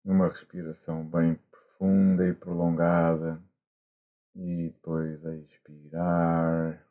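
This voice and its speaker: Monk guy w/ portugal accent leading a meditation, super slow w long pauses, dull muffled zoom sound. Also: deep voice, little pitch movement. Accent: European Portuguese. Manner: gentle, halting, with long pauses and reformulations. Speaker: Buddhist monk, meditation teacher. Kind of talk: guided meditation instruction. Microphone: video call. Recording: muffled, dull online call audio.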